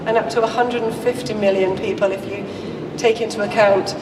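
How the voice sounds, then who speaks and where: A woman with a croaky, strained voice gives a measured conference talk, explaining points step by step. She is picked up from far off in a large, echoing hall, with a long reverb tail and clear background noise. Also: medium pitch, steady tone. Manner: measured, explanatory, with occasional restarts. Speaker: researcher giving a conference talk. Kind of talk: conference presentation.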